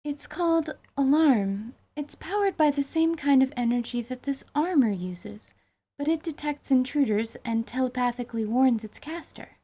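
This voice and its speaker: A woman's voice: sits high, moderate pace, warm tone. A woman playing an earnest, scholarly mage and teacher in a fantasy audio drama, explaining in precise, formal words. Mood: earnest, explanatory.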